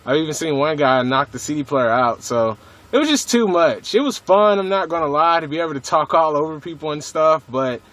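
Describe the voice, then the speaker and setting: A man's voice: on the high side, animated, fairly quick, fairly bright tone. Laid-back American man on CB radio. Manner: laid-back, slangy, profane. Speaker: CB radio hobbyist. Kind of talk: casual CB radio chat.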